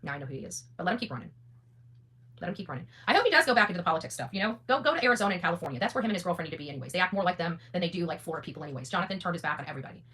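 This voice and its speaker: Very fast, bright, blunt woman. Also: on the low side, big pitch swings. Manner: blunt, defiant, swearing; emphatic repetition of phrases. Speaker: online content creator and host. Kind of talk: unscripted opinionated monologue to an audience.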